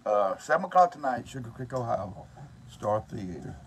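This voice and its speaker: A man's voice: mid-range voice, very animated, moderate pace. A man, casually vlogging from a tour bus and chatting with his bandmates. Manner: folksy, teasing, chatty. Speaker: singer hosting a tour vlog. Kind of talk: casual phone vlog. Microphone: phone at arm's length. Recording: noisy phone audio in a bus.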